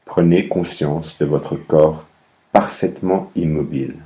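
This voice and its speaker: A man guiding a meditation as a yoga nidra instructor, speaking calmly in measured instructions with long pauses between phrases. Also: very deep voice, low-quality recording. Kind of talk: guided meditation, slow instructions.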